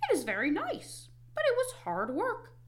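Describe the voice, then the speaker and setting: A woman's voice: very high-pitched, wildly swooping pitch, normal speed, pitched above the performer's own voice, full character voice. A woman voicing an eager, helpful, friendly male frog from a children's storybook, with exclaiming, swooping emphasis.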